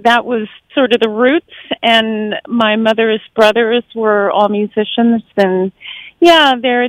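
A woman's voice: fairly high pitch, no room sound, poor audio. A middle-aged woman from Japan who grew up in America and speaks with an American accent, chatting reflectively as an interview guest. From born in Japan, grew up in the United States. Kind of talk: casual conversational interview.

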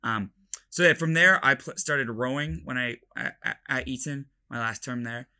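A man's voice: mid-range voice, moderate pace, sharp tone, smooth. A young man from London with an American accent and slight British traces, chatting casually as a college athlete and rambling through his explanations. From London, England. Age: young adult. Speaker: college football player, interview guest. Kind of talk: casual chat.

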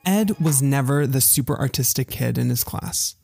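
Man, narrator, scripted documentary voice-over, mellow tone, faint background music. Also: mid-range voice, normal speed, gravelly.

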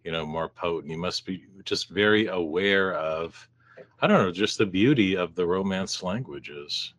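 A man's voice: low voice, normal speed, a bit bright. A man interviewing a musician in a casual, friendly, easygoing way.

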